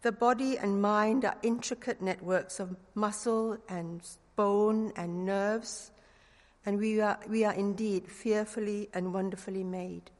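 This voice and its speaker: A middle-aged woman from Singapore with a Singaporean English accent and a touch of London slang, giving an earnest sermon with gentle humor and leading prayer. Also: medium pitch, steady tone, measured pace, long reverb tail. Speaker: preacher.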